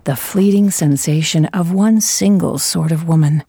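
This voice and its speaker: A woman's voice: on the low side, dark tone, breathy edge, dry recording, studio quality. Woman narrating a suspenseful tale. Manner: measured, suspenseful storytelling. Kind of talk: scripted dramatic narration.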